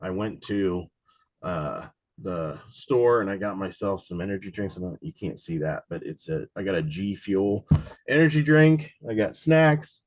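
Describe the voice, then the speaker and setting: A man with a slightly low voice, raspy from a lingering cold, teaches an exam prep class over a video call. He talks casually and rambles, restarting sentences and filling gaps with "um" and "uh". The sound is dry and close, with no room around it. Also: lively intonation, unhurried, no room sound. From Cincinnati, USA. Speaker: insurance exam instructor. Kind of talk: live online study session.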